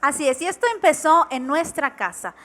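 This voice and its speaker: A young woman with a bright, high-pitched voice co-hosts a church television program. She welcomes viewers and tells a family story in an earnest, storytelling manner. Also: lively intonation, fairly quick. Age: 20s. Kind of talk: warm testimonial talk on TV.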